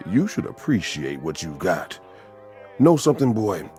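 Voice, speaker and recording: Frail old patient, low voice, very slow, swooping pitch, plaintive. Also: male voice, very animated, warm tone, soft background music. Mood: wistful. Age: older. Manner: slow, throat-clearing, coughing elderly talk. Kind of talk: screen drama acting.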